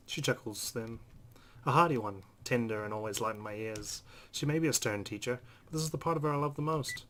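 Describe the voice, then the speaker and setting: A man's voice: mid-range voice, brisk, a bit bright. A man hosting a let's play, reading visual novel narration aloud with brief asides. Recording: clear background noise.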